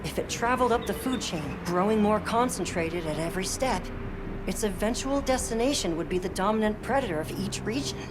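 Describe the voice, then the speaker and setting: A man's voice: high-pitched, expressive, brisk. Inquisitive male researcher, noisy surroundings. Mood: explaining. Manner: explanatory, thoughtful. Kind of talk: video game cutscene acting. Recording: clear ambient noise behind the voice.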